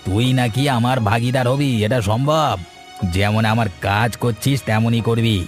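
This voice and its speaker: Man acting a sneering, greedy swindler, medium pitch. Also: fairly even intonation, moderate pace, soft background music, lightly acted. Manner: sneering, dismissive. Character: greedy, contemptuous swindling businessman villain. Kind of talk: Bengali cartoon voice acting.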